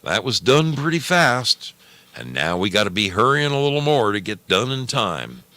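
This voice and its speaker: A man voicing a brisk, formal village official, giving directions in an emphatic, businesslike way. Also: lowish voice, sing-song, pushed and bright, no room sound, voice pushed up.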